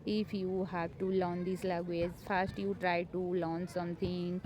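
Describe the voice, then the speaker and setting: Homemaker lady talking english tips, not her first language, lots of ums and restarts, lowish voice, bit of faint noise in the room. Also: level tone, unhurried, darkish tone. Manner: hesitant, many fillers and restarts, encouraging. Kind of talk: unscripted motivational talk to camera. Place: home.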